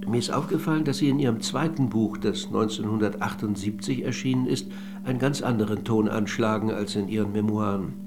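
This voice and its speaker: An actor playing a polite but probing middle-aged interviewer in a radio play, with measured, insistent questioning. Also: male voice, on the low side, over music, lightly acted. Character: courteous but probing male interviewer of an old lady.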